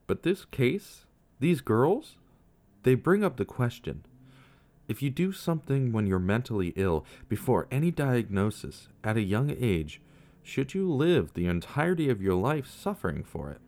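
A man's voice: medium pitch, sing-song, unhurried, a little mellow. A young man hosting a true-crime podcast, reading scripted narration in a reflective, questioning way.

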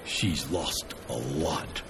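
An actor playing a gruff, kindly, folksy man in a science fiction audio drama, with a gravelly, reassuring delivery. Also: rumbling low, extremely slow, noisy background, heavily acted. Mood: gentle, reassuring. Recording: music under the voice.

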